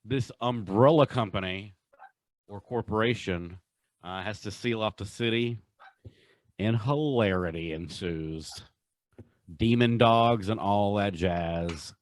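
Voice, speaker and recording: A man co-hosting a podcast in casual, enthusiastic chat, with a halting way of talking. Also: lowish voice, no room sound. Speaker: podcast co-host. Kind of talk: casual chat, countdown commentary.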